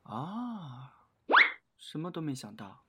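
A woman's voice: low-pitched, very animated, slow pace, heavily acted. A woman playing a cocky, bumbling creature in a Chinese audio drama, by turns swaggering and hesitant. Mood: sheepish. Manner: swaggering, hesitant when questioned. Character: cocky, bumbling creature nicknamed Lord Dog. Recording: clear noise behind the voice.

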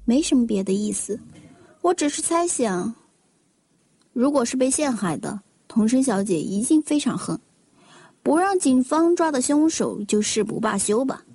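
A woman's voice: on the high side, expressive, moderate pace, slightly rough, dry recording. An actress playing a young-sounding, opinionated woman who speculates plainly in a Chinese audio detective drama. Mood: pointed, speculative. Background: soft music.

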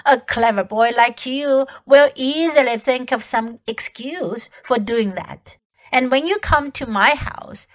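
A woman voicing a cold, scheming queen witch who coaxes in a smooth, conspiratorial way in an audiobook. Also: sits high, fairly bright tone, heavily acted. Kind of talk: audiobook character voice.

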